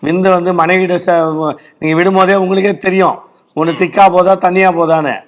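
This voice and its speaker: A man's voice: high voice, steady tone, brisk. A man with a Tamil Nadu accent, a doctor advising a caller in a blunt, explanatory way during a phone-in consultation.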